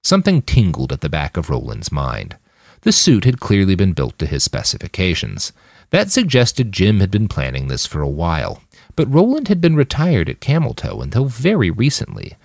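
A man's voice: sits low, wildly swooping pitch, moderate pace, gravelly, no echo, studio quality. A man reading scripted fiction as an audiobook-style narrator. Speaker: author reading his own fiction podcast.

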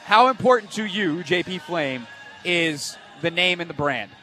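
A male sports radio host with a slightly high voice talks fast and emphatically, broadcasting live from an event. Faint music plays behind him. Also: animated, pushed and bright.

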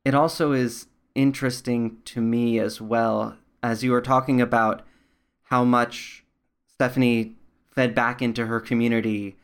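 Even-toned man interviewing, conversational. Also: medium pitch, a little slow, clean tone.

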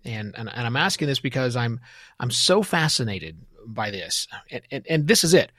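A man's voice: medium pitch, very animated, normal speed, a bit bright, dry recording, clean studio sound. A man hosting a podcast interview in a conversational, emphatic way, repeating words for emphasis.

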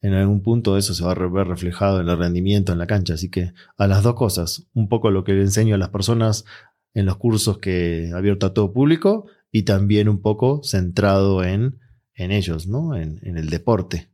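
Argentine male coach, interview guest; very deep, mellow voice, easygoing delivery with fillers. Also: fairly quick. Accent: Argentine Spanish. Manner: relaxed, reflective, with frequent fillers. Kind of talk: interview answers.

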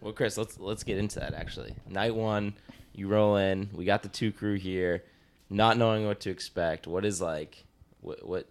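Joking low-voiced male co-host. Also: normal speed. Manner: joking, deadpan teasing. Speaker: podcast co-host. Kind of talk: casual chat. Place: living room.

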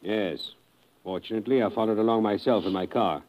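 Actor as a cold, low-voiced radio villain. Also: male voice, natural voice. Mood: smug. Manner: measured, menacing, suavely formal. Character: cold, smug, calculating male villain with gun. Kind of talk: 1950s radio drama acting. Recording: dull old radio recording with music.

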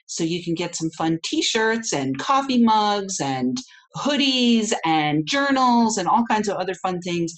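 A woman's voice: medium pitch, very animated, unhurried, slight background noise. A woman hosting a podcast, interviewing a guest and making upbeat announcements. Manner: upbeat, promotional. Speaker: podcast host.

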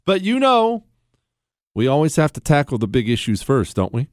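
Sarcastic talk radio host, dry voice. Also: male voice, fairly high pitch, very animated, normal speed, dry recording. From Ohio, USA. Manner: sarcastic, joking, mocking. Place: radio studio.

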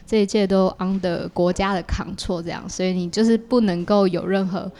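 A woman's voice: medium pitch, steady tone, brisk, warm tone. A woman with a Taiwanese Mandarin accent and a mid-range voice speaks as a podcast guest. She explains things in a conversational way, thinking aloud in long, linked sentences. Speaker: podcast guest, audio drama creator.

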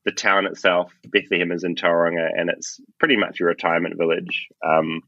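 A very low-voiced man with a New Zealand accent talks warmly about his past in a casual interview. He is upbeat and pokes fun at himself. The audio is dull and lo-fi with a dead-dry sound. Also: flat delivery, brisk, dry recording. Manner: enthusiastic, self-deprecating. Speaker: guest, film composer.

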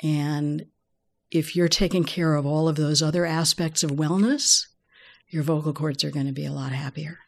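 A woman with a low voice, middle-aged and calm. She explains voice care in an unhurried interview, measured and a little wry. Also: gravelly. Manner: measured, explanatory, gently humorous. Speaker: voice care expert guest. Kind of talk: relaxed conversational interview.

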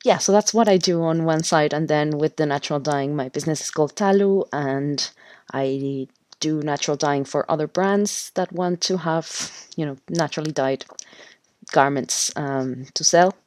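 Low-voiced woman. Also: moderate pace, a little mellow. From Mexico. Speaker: podcast guest, natural textile dyer. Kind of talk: casual interview talk.

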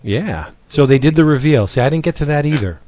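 A man's voice: a little high, lively intonation, brisk, a little mellow. A man hosting a comic book podcast in casual chat, rambling and correcting himself as he goes. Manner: rambling, self-correcting, laughs often. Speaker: podcast host.

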